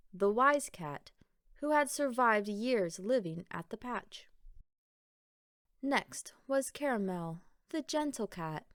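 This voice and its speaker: A woman narrates a picture book for children. Her delivery is unhurried and deliberate, broken into short phrases with emphatic pauses. The intonation is very lively, and the sound is dry with little room echo. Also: mid-range voice. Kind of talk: expressive storybook read-aloud narration.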